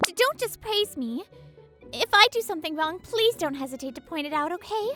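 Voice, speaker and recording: A woman's voice: very high-pitched, animated, a little fast, pushed and bright, faint music behind, heavily acted. A woman voicing a reserved, modest college freshman who works at a café, in a manga story. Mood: flustered. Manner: polite, reserved. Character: cool, modest, beautiful college freshman girl working at a café. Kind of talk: manga story character acting.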